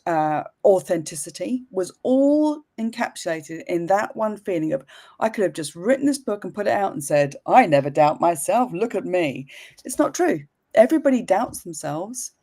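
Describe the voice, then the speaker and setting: Frank, mellow-voiced woman in her fifties. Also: lowish voice. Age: 50s. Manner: frank, upbeat, blunt with a casual swear. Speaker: business mentor guest. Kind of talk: live video chat.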